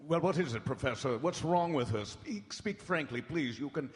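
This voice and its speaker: A grave male doctor played by an actor recounts his journal with solemn, dramatic weight. The delivery is old-time radio horror, measured and anguished, in a reverberant hall. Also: on the high side, lively intonation, natural voice. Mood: anxious. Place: large hall stage before live audience. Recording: echoey hall, live stage broadcast.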